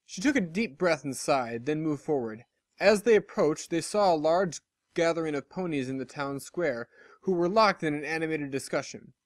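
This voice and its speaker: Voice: mid-range voice, expressive, moderate pace, no echo, studio quality. A story reader giving a scripted narration of fiction. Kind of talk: scripted narration of fan fiction with character voices.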